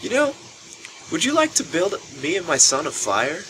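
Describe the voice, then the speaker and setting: Man playing a tipsy middle-aged woman, friendly and coaxing, raised pitch, slightly noisy vlog recording. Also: wildly swooping pitch, moderate pace. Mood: friendly, asking. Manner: casual, coaxing, rambling. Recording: noisy low-quality camera microphone.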